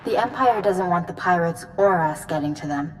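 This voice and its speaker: Low-voiced resolute woman commander, acted. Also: animated, slight background noise, lightly acted, low-quality recording. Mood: grave, resolute. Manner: serious, measured, authoritative. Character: resolute, grave female Jedi commander giving mission briefings. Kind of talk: video game cutscene acting.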